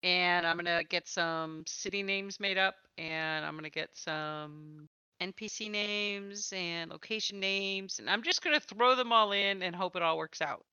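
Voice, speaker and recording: A woman's voice: lowish voice, measured pace, pushed and bright, smooth, dry recording, muffled recording. A woman hosting a casual, chatty podcast about tabletop gaming, with a playful way of talking. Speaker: podcast host and interviewer.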